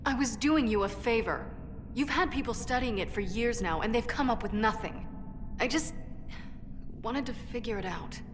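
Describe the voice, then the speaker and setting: A woman's voice: fairly high pitch, lively intonation, moderate pace, lightly acted. Woman playing a brash dwarf inventor in echoey cavern. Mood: defensive, earnest. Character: brash, defensive female dwarf smith and inventor. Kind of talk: video game cutscene acting. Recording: echoey cavern reverb, background noise.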